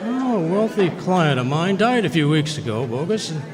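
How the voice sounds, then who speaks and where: An actor playing a crooked, polite frontier lawyer in an old-time radio Western, with a mannered, swooping delivery. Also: male voice, fairly high pitch, sing-song, moderate pace. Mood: polite, calculating. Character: smooth-talking, crooked male frontier lawyer. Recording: echoey hall with crowd noise.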